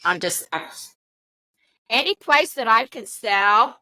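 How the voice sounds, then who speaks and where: An older woman in her sixties chats at an unhurried pace, her voice bright and forward. She rambles a little and laughs often, recorded close with a dry sound. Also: a little high, lively intonation, no echo. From Virginia. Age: 60s. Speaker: podcast co-host. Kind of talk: casual interview chat.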